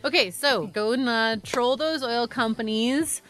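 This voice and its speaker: A woman co-hosting a podcast in casual chat, with an animated, irreverent and sarcastic way of talking that includes free swearing. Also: a little high, unhurried, soft background music. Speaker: podcast co-host.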